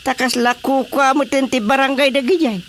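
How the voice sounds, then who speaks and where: A woman's voice: high-pitched, steady tone, moderate pace, a little hiss or hum. A woman with an Ilocano Filipino accent playing an easygoing, amused, placating village chairman in a radio drama comedy.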